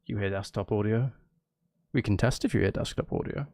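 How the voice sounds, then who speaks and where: A man's voice: lowish voice, a little fast, darkish tone. A man chatting casually to viewers on a livestream, rambling, repeating himself and breaking off mid-sentence in a jokey, self-deprecating way. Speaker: live streamer.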